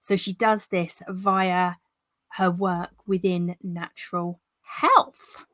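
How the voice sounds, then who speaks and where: A woman's voice: fairly low pitch, fairly even intonation, slow pace, fairly bright tone, no room sound, clean studio sound. A woman hosting a podcast, introducing her guest and asking encouraging questions. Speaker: podcast host. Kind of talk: interview hosting.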